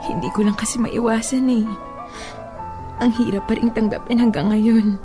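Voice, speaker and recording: Filipino radio drama actress as bereaved young wife: higher voice, soft, plaintive, halting, music underneath. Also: female voice, flat delivery, natural voice. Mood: sorrowful. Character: grieving young wife who lost her baby, tender, fragile. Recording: music behind the voice.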